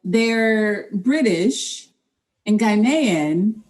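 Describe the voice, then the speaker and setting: A woman's voice: medium pitch, expressive, very slow, a little mellow, recorded from a distance, soft background music. Chatty woman host, away from the mic. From Cleveland, Ohio. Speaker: podcast host. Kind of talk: interview questions.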